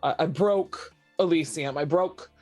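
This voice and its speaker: A woman's voice: deep voice, a little slow, a little mellow, soft background music. A woman playing a shaken, sick and desperate young woman with a brooding bent, in a horror tabletop roleplay. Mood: haunted, halting.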